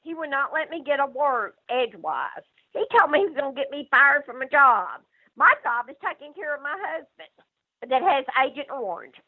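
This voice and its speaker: A woman's voice: high-pitched, big pitch swings, moderate pace, dry recording. A woman talking unscripted on the phone, hesitant and often repeating herself. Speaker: prank call recipient. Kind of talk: unscripted phone conversation and voicemail. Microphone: telephone. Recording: tinny, narrow phone line.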